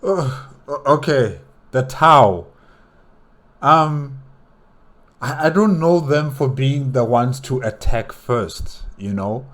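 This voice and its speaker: A man's voice: mid-range voice, animated, slow, fairly bright tone. A man giving casual reaction commentary as a host, thinking aloud hesitantly and repeating his words.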